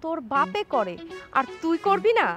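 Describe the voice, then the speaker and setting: A woman acting a sharp-tongued, defiant daughter-in-law who rules the house in a family drama, with a Bangladeshi accent. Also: very high-pitched, big pitch swings, moderate pace, over loud music. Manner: commanding, threatening, scornful.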